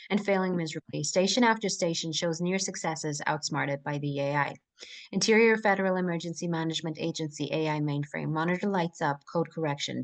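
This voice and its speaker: A woman reading scripted screenplay action and scene headings as narrator at a table read. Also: fairly low pitch. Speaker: stage directions reader at a screenplay table read.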